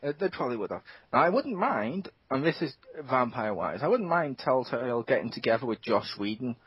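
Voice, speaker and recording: A man hosting a casual gaming podcast, talking fast and excitedly with plenty of swearing. His voice is husky and slightly breathy. The dull, lo-fi recording has a dry, close sound. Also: mid-range voice, no room sound. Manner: animated, swears freely, quick asides. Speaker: podcast host.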